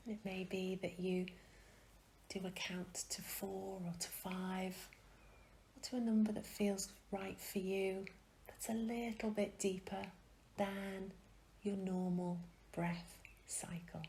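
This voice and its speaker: A woman gently guiding a meditation in a soft, breathy, often near-whispered voice, soothing and unhurried, with long pauses. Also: medium pitch, little pitch movement, a little hiss or hum. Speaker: meditation guide. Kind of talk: guided meditation.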